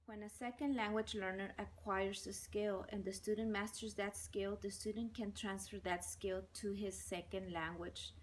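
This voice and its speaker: A woman in her forties whose first language is Spanish, a bilingual teacher giving measured interview answers and listing points one by one. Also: medium pitch, steady tone, low-quality recording.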